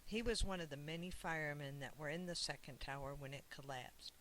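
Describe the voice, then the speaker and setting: Reassuring storybook mother, played by the reader: gentle, bright tone, faint background hiss. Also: medium pitch, a little fast, close to an everyday voice, rough recording.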